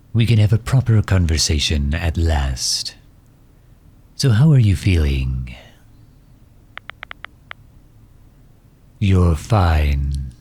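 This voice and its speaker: Very deep male villain, acted by a man, slow measured delivery, formal and courtly, gently solicitous, ASMR roleplay drama. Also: a little hiss or hum, heavily acted. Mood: gentle concern. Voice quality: husky, low. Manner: formal, measured, silky endearments. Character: megalomaniac villain, courtly and gently solicitous toward injured enemy.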